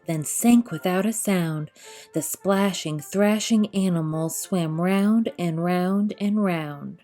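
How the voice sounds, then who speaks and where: A woman storyteller reading a rhyming children's picture book aloud, expressively, in measured rhythmic verse. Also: medium pitch, dark tone.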